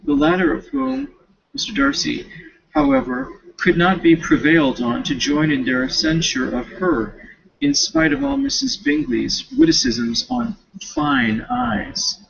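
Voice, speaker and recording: High-pitched, husky young-sounding man reading aloud. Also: a little slow, highs cut off. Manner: expressive, stumbling over words. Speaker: student. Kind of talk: reading a novel aloud with casual asides.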